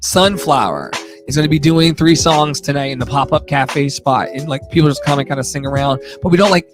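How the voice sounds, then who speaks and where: Male singer-host, lighter high-ish register, loose profane livestream chatter, effusive and slangy, some music in the mix. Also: fairly quick. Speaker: singer hosting a live audio room show. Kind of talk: casual, rambling livestream chat.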